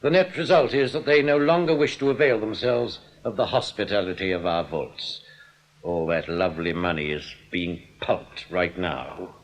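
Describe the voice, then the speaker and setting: An English actor playing a suave, scheming bank manager in a radio drama, wordy and full of oily, theatrical politeness. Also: male voice, sits low, normal speed, poor audio. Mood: theatrically rueful. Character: suave, smug, scheming male bank manager, mock-gracious crook. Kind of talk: British radio drama acting.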